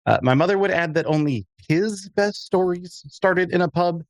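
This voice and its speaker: A man's voice: high-pitched, normal speed, no room sound, voice pushed up. A man playing a wry, observant adventurer who narrates his own fantasy story at an audio drama table read. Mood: wry, reflective.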